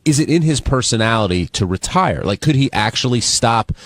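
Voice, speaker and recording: A man's voice: mid-range voice, expressive, fairly quick, no room sound. A man hosting a radio talk show, moderating the discussion and searching for his words as he goes. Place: radio studio. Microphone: close studio microphone.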